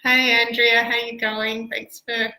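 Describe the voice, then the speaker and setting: Casting: middle-aged Australian woman, very bright voice, casual livestream teacher chat, recorded in an echoey room. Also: on the high side, little pitch movement, slow. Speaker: piano teacher. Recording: roomy, echoey livestream sound.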